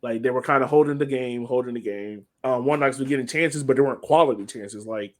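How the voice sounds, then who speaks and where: A man speaks casually as a sports podcast co-host. He works through a soccer match out loud in an informal, conversational way. His voice sits at an average male pitch. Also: a little fast. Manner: informal, thinking aloud.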